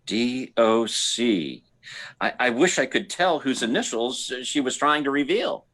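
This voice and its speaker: A man playing a nervous husband in an old-time radio mystery, halting and theatrical. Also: mid-range voice, expressive, fairly bright tone.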